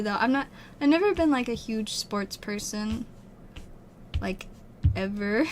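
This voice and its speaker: A woman's voice: mid-range voice, a bit bright, a little hiss or hum. A twenty-year-old woman from Utah chatting casually with livestream viewers, rambling with lots of fillers and laughs, her voice strained and wearing out. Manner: rambling, giggly, many "like" fillers. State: losing her voice, strained throat. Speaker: streamer.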